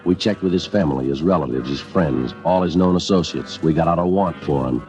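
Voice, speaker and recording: An actor playing a calm, terse police detective who narrates his case in a clipped, deadpan radio drama style. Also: extremely low pitch, fairly quick. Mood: matter-of-fact. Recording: dull old radio recording, music underneath.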